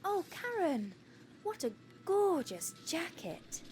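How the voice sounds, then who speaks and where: An actress playing a catty, scornful office receptionist in an audio drama. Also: female voice, extremely high pitch, big pitch swings, slow, darkish tone, a little hiss or hum, heavily acted. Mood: gushing.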